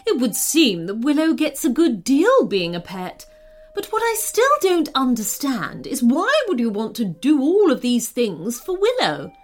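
A woman voicing a serious, regal red panda queen for a children's storybook, measured and imperious but curious and soft-hearted. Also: high-pitched, huge pitch swings, full character voice. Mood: puzzled, probing.

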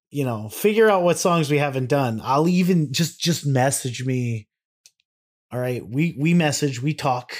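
A man's voice: mid-range voice, darkish tone. A man co-hosting a podcast in a rambling, slangy, sweary way, moving between casual banter and reading aloud. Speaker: podcast co-host.

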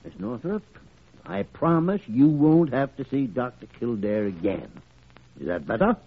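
An actor playing a gruff, commanding older doctor with a fatherly streak, in theatrical old-time radio drama style. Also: medium pitch, expressive, measured pace, full character voice. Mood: coaxing. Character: gruff, blustery older male senior doctor, fatherly to patients. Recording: tinny, band-limited old radio recording.

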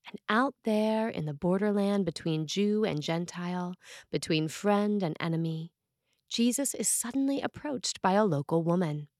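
A woman priest reading a scripted sermon in a measured, earnest way with dramatic emphasis on key phrases. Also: fairly low pitch, expressive, a little mellow, no room sound, clean studio sound.

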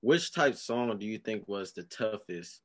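A man co-interviewing a rapper, asking easygoing, halting questions. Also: fairly low pitch, lively intonation.

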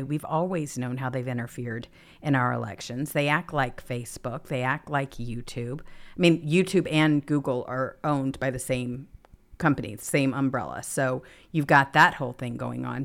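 A woman co-hosting a political talk show, speaking in an emphatic, assertive way. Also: low voice. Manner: emphatic, agreeing, building to raised excitement. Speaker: co-host.

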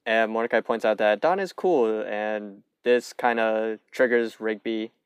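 A man's voice: fairly low pitch, a little slow, clear, resonant tone, no echo. A young man co-hosting a podcast, casually recapping a cartoon episode and swearing freely. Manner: loose, swears casually, narrates plot points. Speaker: podcast co-host.

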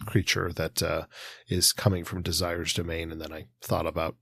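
A man's voice: low voice, level tone, moderate pace, creaky, bright, forceful tone, husky. A man in his forties co-hosting a literary podcast, with a hesitant, meandering, exploratory way of talking. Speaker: podcast co-host. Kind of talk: conversational literary commentary.

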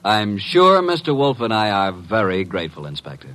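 An actor playing a wry, cheeky, streetwise detective's assistant with a breezy, mock-polite manner, in 1950s radio drama style. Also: male voice, lowish voice, big pitch swings, normal speed, fairly bright tone, poor audio.

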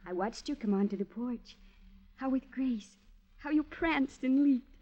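High-pitched, cooing, flirtatious radio widow. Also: female voice, a little slow. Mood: coy, admiring. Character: coy, flirtatious widow, breathlessly admiring, faintly menacing. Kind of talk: 1950s radio drama acting. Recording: muffled vintage radio recording.

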